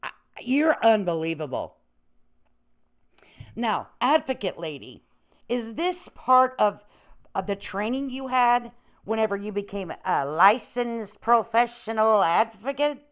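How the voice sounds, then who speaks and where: Sweary woman host doing snarky takedown commentary, swingy pitch, bit dull lo-fi audio. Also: lively intonation, measured pace. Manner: confrontational, sarcastic, foul-mouthed. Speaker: reaction show host. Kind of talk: mocking commentary on another creator's stream.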